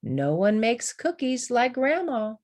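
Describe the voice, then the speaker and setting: A generous, welcoming mother from a picture book, acted out by a woman reader. Her delivery is sing-song and slow, with big swoops in pitch. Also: very animated, darkish tone. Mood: fond.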